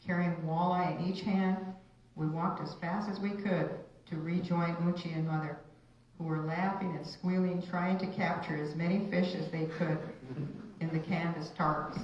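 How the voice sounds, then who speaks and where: A woman's voice: lowish voice, darkish tone. A woman speaking at a live community reading as a reader and introducer. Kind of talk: reading a personal story aloud, informal introductions. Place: large echoey indoor room at a live reading. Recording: very echoey room, distant dull mic, hiss.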